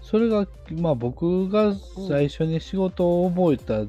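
A man's voice: sits high, animated, unhurried, dark tone. A man with a Kansai accent talking casually as a co-host on a live chat show. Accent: Kansai Japanese. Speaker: co-host and amateur scriptwriter. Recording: dull lo-fi line, music behind. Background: background music.

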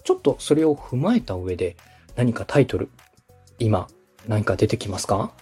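A man with a mellow, slightly husky voice explains things in an orderly, polite coaching manner. His pitch moves animatedly as he summarizes each point, with faint music behind him. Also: lively intonation, moderate pace. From Osaka, Japan. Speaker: podcast host, radio director coaching a podcaster. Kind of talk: structured, explanatory coaching talk.

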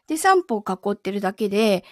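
A woman's voice: medium pitch, sing-song, brisk, darkish tone, dry recording, studio quality. A woman chatting casually on a live stream as its host, often laughing. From Yokohama area, Japan. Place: indoors in a sound-absorbing foam-lined booth.

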